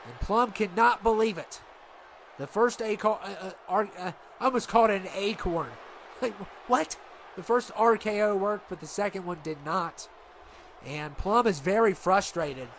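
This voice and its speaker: A man with a stuffed-up nose doing rambling, excitable play-by-play for a video game wrestling show. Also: sits high, moderate pace, a little hiss or hum. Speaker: commentator.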